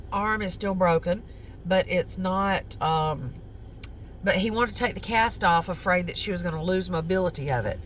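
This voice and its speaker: Southern woman vlogger, lowish voice, loose rambling chat, faint outdoor hum and cars behind. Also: a bit bright. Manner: rambling, chatty, many ums and self-corrections. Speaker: crochet vlogger. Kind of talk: casual chat to camera. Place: outdoors on a lunch break.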